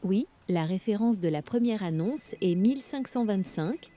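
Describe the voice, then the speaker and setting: A woman reading everyday French dialogues for learners, with clear, careful scripted delivery. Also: medium pitch, expressive, moderate pace, warm tone, close to an everyday voice.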